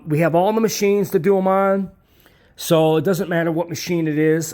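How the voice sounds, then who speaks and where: A man's voice: on the high side, darkish tone. A man giving an informal promotional talk about his own business, speaking bluntly and emphatically with salty, repetitive phrasing. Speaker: tape transfer business owner presenting his service.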